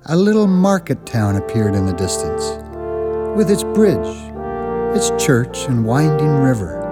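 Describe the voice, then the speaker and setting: A man narrating a radio drama as a storyteller, measured and expressive. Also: medium pitch. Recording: music bed behind narration.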